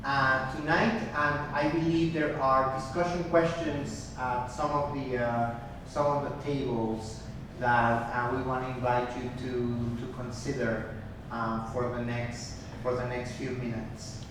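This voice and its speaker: A man emceeing a live event, thanking panelists in a hesitant way with many pauses. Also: medium pitch, level tone. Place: large room at a live event. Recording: echoey hall, speaking from far off, noisy.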